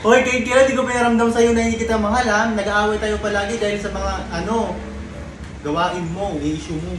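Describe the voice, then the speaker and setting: A young man grumbles defensively in casual bickering, repeating his retorts. He sounds off-mic in an echoey room, with clear noise around him. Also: sits high, steady tone, fairly quick, far from the mic. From Philippines. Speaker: boyfriend being pranked in a couple's vlog.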